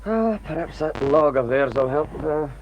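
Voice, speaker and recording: A man's voice: fairly high pitch, expressive, normal speed, highs cut off, background noise. An actor playing an uneasy, fumbling male colleague in a radio drama, with a hesitant, casual manner. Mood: unsure, helpful.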